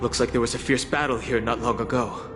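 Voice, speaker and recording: Calm, measured man, acted, in long reverb. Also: medium pitch, natural voice. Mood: calm, focused. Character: calm, thoughtful male ally on a prison mission. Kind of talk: English video game voice acting. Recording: long reverb, faint music behind.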